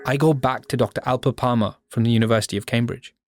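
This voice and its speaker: A man hosting and narrating an investigative documentary in a measured, reflective way. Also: medium pitch, steady tone, heavy background noise. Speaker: podcast host and narrator. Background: music bed.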